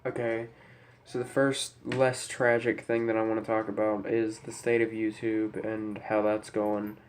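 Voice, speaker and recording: A man's voice: on the low side, little pitch movement, measured pace, a little hiss or hum. A young man with a head cold talking unscripted to camera, congested, somber and hesitant, as a YouTuber apologetically addressing his viewers. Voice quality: stuffy, congested. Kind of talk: unscripted personal talk to camera. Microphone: camera microphone.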